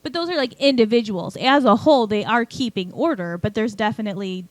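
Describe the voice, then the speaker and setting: A woman's voice: on the high side, fairly quick, dry recording. A woman in a casual group discussion, critiquing a story informally and swearing freely. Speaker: podcast panelist and story critic.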